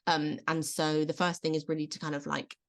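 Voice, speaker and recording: Low-voiced woman coaching gently. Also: level tone, brisk. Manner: gentle, encouraging advice. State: slightly losing her voice. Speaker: self-belief coach guest. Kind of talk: casual video-call interview. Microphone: video call.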